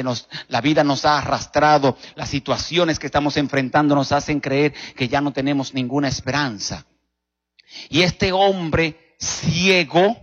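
A man with a Dominican accent preaching a sermon as a pastor, telling stories emphatically with rhetorical questions and dramatic pauses. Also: on the high side, a bit bright. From Dominican Republic. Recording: dull recording in a reverberant hall.

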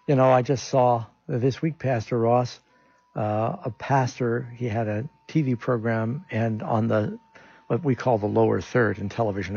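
A man's voice: medium pitch, gravelly, a little mellow, breathy edge, muffled recording. A man who hosts a radio call-in show as a pastor, answering Bible questions in a conversational, explanatory way.

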